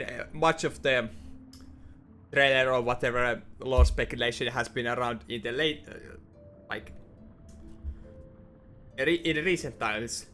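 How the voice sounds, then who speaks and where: A man's voice: medium pitch, bright, forceful tone. Man musing hesitantly. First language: Finnish. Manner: hesitant, repeats and restarts words, thinks aloud. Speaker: gaming YouTuber. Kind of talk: lore-focused game commentary.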